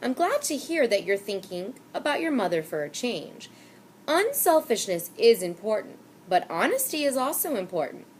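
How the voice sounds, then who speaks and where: A woman reading the lines of a calm old shopkeeper who lectures in a slow, measured way. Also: fairly high pitch, slight background noise, voice pushed up.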